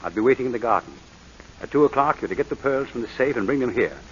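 A man playing a suave schemer with a butler's formal polish in an old radio play. He talks quickly with lively swings of pitch. The vintage recording is muffled and noisy. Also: lightly acted. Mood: pressing, menacing. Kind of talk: 1940s radio acting.

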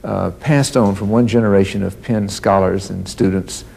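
A middle-aged man who leads a university, addressing the camera in a measured, stately way in a grand hall. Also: sits low, steady tone, vocal fry, mellow, breathy edge. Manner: measured, stately delivery with some hesitations. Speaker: university leader.

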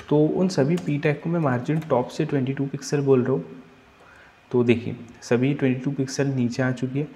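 A young man with a mellow, relaxed tone talks through code at his desk, explaining each step as he types. Soft keyboard clicks come through now and then. His delivery is steady and patient, like a tutor thinking aloud. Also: medium pitch. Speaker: coding tutor. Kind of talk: screen-recorded coding tutorial.

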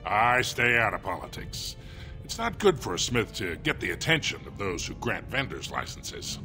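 A man voicing a polite, salesmanlike dwarf armorer in a video game, with measured patter. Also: lowish voice, big pitch swings, sharp tone, soft background music. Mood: cautious, polite. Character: salesmanlike, cautious male dwarf armorer and merchant. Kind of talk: video game dialogue acting.

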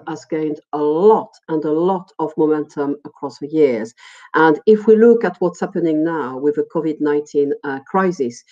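A middle-aged woman with a French accent presents a webinar as a lecturer in a conversational, halting, digressive way, often repeating words. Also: low-pitched, warm tone. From France. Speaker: university lecturer. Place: small indoor room, video call. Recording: muffled, dull webinar audio.

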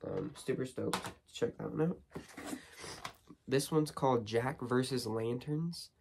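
A teenage boy with a cold, hoarse, chatting casually with many ums and restarts while unboxing movies in his bedroom. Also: medium pitch, a little slow, darkish tone, slight background noise. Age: teens. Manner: rambling, lots of ums and restarts. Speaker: horror movie vlogger. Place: small bedroom studio with shelves.

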